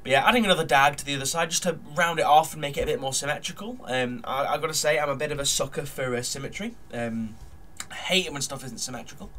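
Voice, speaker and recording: A man's voice: a little high, quick pace, sharp tone. A man with a nasal, blocked-up voice from a cold, chatting casually at his desk while narrating a model build, full of ums and uhs. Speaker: hobby model builder vlogger.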